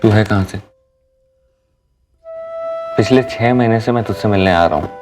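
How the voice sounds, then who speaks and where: An actor playing a lovesick truck driver in a TV crime drama, earnest, blunt and insistently pleading. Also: male voice, on the low side, moderate pace, distant mic, over music, lightly acted. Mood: frustrated, pleading.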